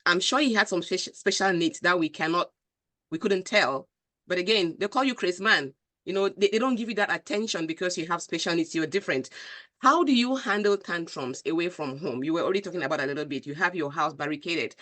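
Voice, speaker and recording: A woman with a Cameroonian accent speaks as a talk show host. Her voice is bright and forceful, and she interviews in a conversational way. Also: fairly low pitch, a little fast.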